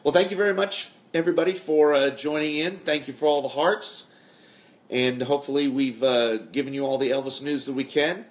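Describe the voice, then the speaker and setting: A man's voice: on the high side, fairly even intonation, brisk. A man hosting a livestream in casual chat, with a self-deprecating, hesitant and trailing way of talking. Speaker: show host and singer.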